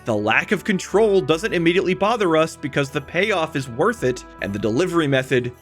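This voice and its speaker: A man's voice: on the high side, big pitch swings, a little fast. A man narrating a scripted video essay in an emphatic, conversational way, speaking straight to the viewer. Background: background music.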